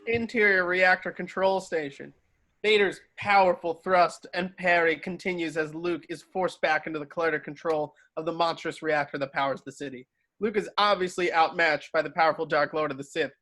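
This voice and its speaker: A man's voice: on the high side, fairly even intonation, normal speed, bright, forceful tone, no room sound. A man narrating scripted stage directions for a table read.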